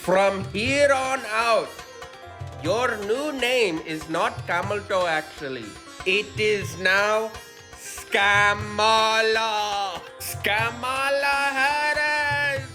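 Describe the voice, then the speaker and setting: A scripted mock address delivered in a measured, mocking way. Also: medium pitch, sharp tone, soft background music.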